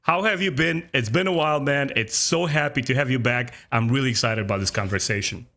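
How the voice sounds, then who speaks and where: A man's voice: mid-range voice, a little fast, pushed and bright. A man in his thirties hosting a casual fan podcast, talking with enthusiasm. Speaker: podcast host.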